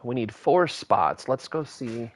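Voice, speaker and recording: A man's voice: mid-range voice, expressive, slow, over loud music. A man in his thirties giving casual, rambling commentary while playing a space game, thinking aloud as he builds. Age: 30s. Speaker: game streamer.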